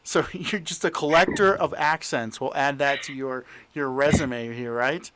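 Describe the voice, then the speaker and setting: A man's voice: mid-range voice, measured pace, bright, forceful tone, low-quality recording. A man hosting an interview podcast, talking casually with many fillers and restarts. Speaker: podcast host. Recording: voice over background music.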